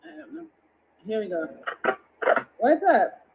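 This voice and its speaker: A woman hosts a call-in dating show, brisk and upbeat as she moves between callers. Her voice is fairly high. The sound is muffled, with faint noise. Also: very animated. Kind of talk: hosting a live call-in show.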